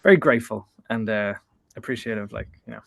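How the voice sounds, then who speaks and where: A man's voice: lowish voice, quick pace, creaky, a bit bright, no echo. Easygoing man, dry sound. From Ireland. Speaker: band member, guest. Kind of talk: casual interview answers.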